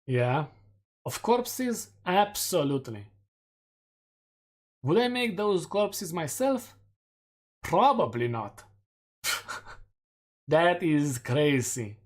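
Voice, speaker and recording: A man's voice: a little high, sing-song, extremely slow, long pauses. A man with a Romanian accent, a streamer casually going over community poll results with self-mocking jokes. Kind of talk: casual chat reading community polls.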